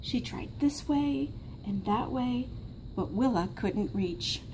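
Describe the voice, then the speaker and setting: A woman's voice: on the high side, expressive, slow, darkish tone, low-quality recording. A woman, reading a picture book aloud as a teacher in a classroom. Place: small classroom, indoors. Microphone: camera a short distance away. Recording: faint classroom background noise.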